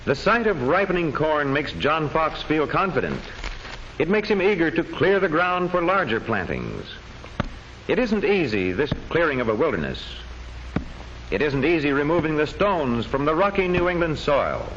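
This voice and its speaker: A man narrating an old educational history film from a script, in measured, declamatory storytelling phrases. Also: a little high, big pitch swings, fairly bright tone. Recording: dull old film soundtrack with faint noise.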